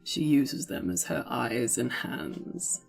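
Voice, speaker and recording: A woman's voice: animated, soft background music, heavily acted. A woman voicing a sly, unimpressed storybook cat with a silky, drawling delivery. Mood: smug, pleased. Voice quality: smooth, low, silky. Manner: drawling, conversational, deliberate. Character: sly, unimpressed talking cat, smug and languid.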